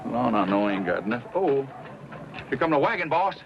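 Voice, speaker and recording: A man playing a rough-hewn, loyal middle-aged wagon-train hand with folksy rustic grammar in a western radio drama. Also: mid-range voice, sing-song, moderate pace, background noise. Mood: urgent. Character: rough-hewn, loyal frontier old hand named Tobias, plain-spoken. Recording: dull lo-fi radio recording, music.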